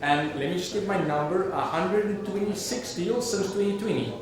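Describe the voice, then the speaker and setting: A man's voice: sits high, moderate pace. A man with a South African accent hosting an awards show on stage, slangy and enthusiastic, with casual swearing. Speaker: awards host. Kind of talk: lively stage emceeing. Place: large event hall with audience. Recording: very echoey hall with crowd noise.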